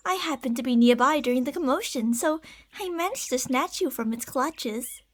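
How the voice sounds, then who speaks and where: High-voiced, possessive lamia woman, acted by a woman. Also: lively intonation, normal speed. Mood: soothing, concerned. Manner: soft, formal, soothing speech with breathy whispers. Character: possessive, obsessive lamia beast-woman, tender and deceitful. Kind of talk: ASMR roleplay acting.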